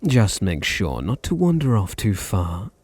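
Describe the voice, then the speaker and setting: A British man playing a possessive, teasing vampire master in an intimate ASMR roleplay, with a deep, husky, gravelly voice and a condescending purr. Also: big pitch swings, no room sound, full character voice. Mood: smug, cold. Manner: slow, purring, condescending, softly teasing.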